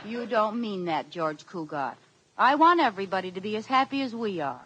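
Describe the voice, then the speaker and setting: An actress playing a chatty, meddling young wife who plays matchmaker, in 1940s radio comedy style with a giggly, coaxing delivery. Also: female voice, mid-range voice, lively intonation, a little fast, close to an everyday voice. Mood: bubbly. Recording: noisy old radio broadcast.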